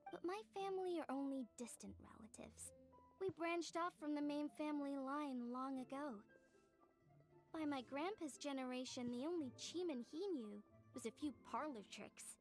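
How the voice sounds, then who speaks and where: A woman voicing a friendly young street-smart artisan in a video game, expressive and explanatory. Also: high voice, close to an everyday voice. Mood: matter-of-fact. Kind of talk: video game dialogue acting.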